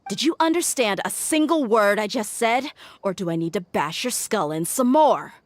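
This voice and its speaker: A woman's voice: mid-range voice, animated, normal speed, no echo, natural voice. A woman playing a tough, headstrong young mercenary in a video game, blunt and commanding, fierce yet caring. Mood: stern, threatening. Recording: music behind the voice. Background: game music.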